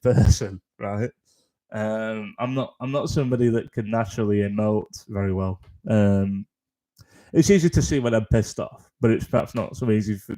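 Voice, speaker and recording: A man hosting a live comedy stream, rambling in a flat deadpan tone, with lots of ums, restarts and swearing. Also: mid-range voice. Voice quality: deadpan, flat-toned delivery. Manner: self-deprecating, frequent ums and restarts, sweary. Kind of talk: rambling stand-up style chat on a live stream.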